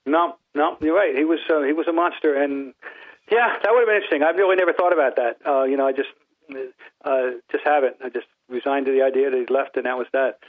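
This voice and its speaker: A man's voice: mid-range voice, fast, no room sound. Older man with a New England accent on a tinny phone line. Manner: rambling, many restarts and repeated words. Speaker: guest sportswriter. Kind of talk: casual podcast interview.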